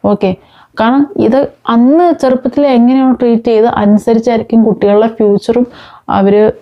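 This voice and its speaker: A woman's voice: fairly high pitch, fairly even intonation, moderate pace. Woman explaining, slightly muffled lo-fi sound. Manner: informal, mixes in English words. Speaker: host of a parenting talk. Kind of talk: explanatory talk to viewers with examples.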